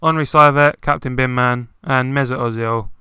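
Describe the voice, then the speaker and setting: Bright, clear-voiced young man. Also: mid-range voice, fairly even intonation, moderate pace, dry recording, studio quality. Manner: chatty, many "like" fillers. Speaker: podcast co-host. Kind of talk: casual football chat.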